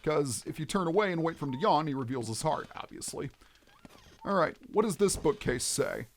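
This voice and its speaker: A man's voice: fairly high pitch, expressive, a little fast. A man giving video game let's play commentary in a theatrical, explanatory manner as the host. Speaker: let's player, host of the series.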